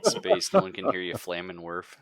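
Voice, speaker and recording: A man's voice: deep voice, very animated, moderate pace, vocal fry. A man running a tabletop roleplaying game as game master, talking casually and joking with a lot of laughter. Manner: jokey, laughs often.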